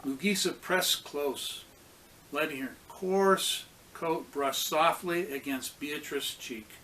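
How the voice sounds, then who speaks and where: A man's voice: a little high, slow pace, bright, forceful tone, a little hiss or hum. A man reading a storybook aloud to children as a pastor, expressively acting out the characters' lines.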